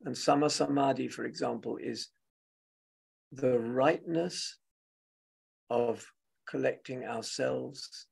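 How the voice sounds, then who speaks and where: A man guides a meditation in a very husky, breath-worn voice with heavy creak. He speaks gently and very slowly, leaving long pauses between short phrases, as a teacher feeling out each thought. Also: medium pitch. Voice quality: husky, gravelly. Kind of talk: guided meditation, spoken softly and unscripted.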